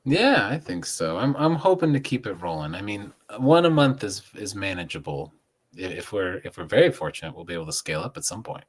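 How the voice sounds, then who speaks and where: Casting: American male co-host with a low-pitched voice for reading community news headlines. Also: sing-song, brisk, husky. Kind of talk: scripted news reading with light chat.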